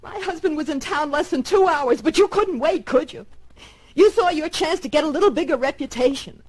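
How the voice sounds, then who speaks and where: An American actress playing a vengeful, bitter widow in an old-time radio western, cold and accusing. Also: female voice, high voice, animated, brisk. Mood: accusing, rising anger.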